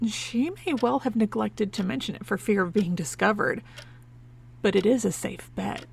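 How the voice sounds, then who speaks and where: An actress playing a determined, serious occult investigator in an audio horror drama, husky and formal in her wording. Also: mid-range voice, normal speed, a little hiss or hum. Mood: intent.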